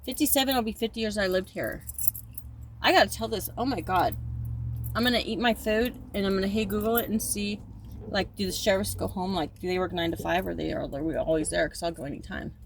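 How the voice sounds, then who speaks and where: A woman's voice: medium pitch, a little fast, slight background noise, low-quality recording. A woman in her fifties talking in a casual, rambling monologue, often repeating and restarting her sentences, and at times turning emphatic and indignant. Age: 50s.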